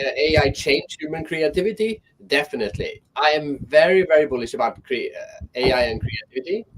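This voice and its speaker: A man's voice: mid-range voice, slight background noise, rough recording. A man speaking as a guest on an online panel, talking thoughtfully and often restarting his sentences.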